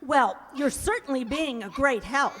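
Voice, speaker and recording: Exasperated young working girl for a radio comedy, played by a woman: high, very animated delivery, echoing hall. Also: unhurried. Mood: flustered, indignant. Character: exasperated young shop girl mistaken for a mother. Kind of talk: old-time radio comedy acting. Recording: reverberant hall stage recording.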